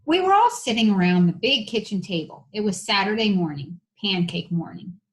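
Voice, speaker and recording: A woman's voice: medium pitch, animated, moderate pace. A woman reading a children's storybook aloud like an elementary teacher, with casual asides to the listeners.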